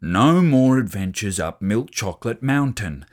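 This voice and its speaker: A man's voice: on the low side, animated, a little slow, a little mellow. A man voicing a stern, firm father in a children's storybook who will not budge. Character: stern, unbudging father forbidding his children.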